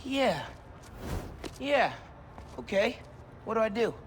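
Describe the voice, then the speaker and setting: Casting: earnest teen boy superhero, quippy game hero, highish voice over clear background noise. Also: very animated, slow, full character voice. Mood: sincere. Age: young adult. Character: earnest seventeen-year-old male superhero, quippy and stressed. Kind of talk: video game cutscene acting.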